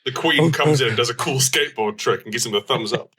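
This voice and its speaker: A woman adding improvised comic narration to a film retelling, in an animated way. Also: very deep voice, a little fast, breathy edge. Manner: lively, animated asides. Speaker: comedy podcast co-host.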